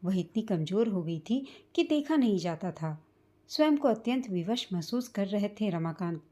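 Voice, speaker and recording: Mellow woman narrating an emotional story. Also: mid-range voice, lively intonation, normal speed.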